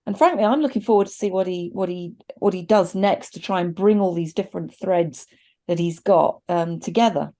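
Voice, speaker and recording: Low-pitched, mellow middle-aged woman. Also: a little fast. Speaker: podcast co-host reviewing films. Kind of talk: casual discussion and commentary. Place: indoors at a desk, video call with virtual backdrop.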